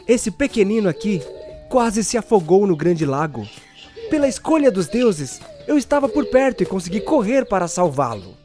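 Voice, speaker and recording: An actor playing a kind, brave Aztec village hero in an audio drama, earnest and dramatic. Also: male voice, high-pitched, very animated, measured pace, mellow, soft background music. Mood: kindly, cautioning.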